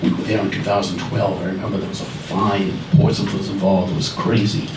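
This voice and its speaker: A man's voice: sits low, flat delivery, brisk. A man giving a public comment at a town meeting, tentative and questioning, with many fillers. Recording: echoey hall with noise, distant mic.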